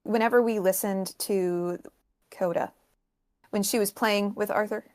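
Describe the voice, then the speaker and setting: A woman's voice: medium pitch, fairly even intonation, measured pace. A woman talking as a podcast panelist, explaining music informally in a precise, step-by-step way.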